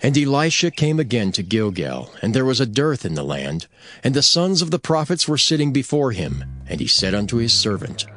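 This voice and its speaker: A man narrating dramatized scripture as a measured, solemn storyteller. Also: medium pitch, sing-song, a bit bright, no echo, faint music behind.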